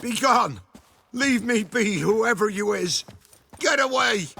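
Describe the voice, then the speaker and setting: A man with a British accent voicing a haunted, mournful peasant villager in a video game, hoarse and rustic in his telling. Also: sits high, animated, background noise, exaggerated voice. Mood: panicked. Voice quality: hoarse, raspy, creaky. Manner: slow, haunted, rustic storytelling.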